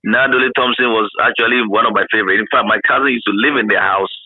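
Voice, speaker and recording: Deep-voiced Ghanaian man on a phone-like line. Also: fairly even intonation, quick pace, slightly rough, dry recording. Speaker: interviewee, former radio broadcaster. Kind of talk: reminiscing in a casual interview.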